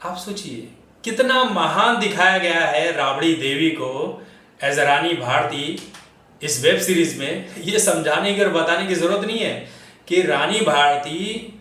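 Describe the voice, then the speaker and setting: A man with a fairly high voice delivers opinionated commentary in a very echoey room, some distance from the microphone. He speaks straight to his listeners, firing rhetorical questions with indignation and sarcasm. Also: pushed and bright. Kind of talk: opinionated film and political commentary to camera.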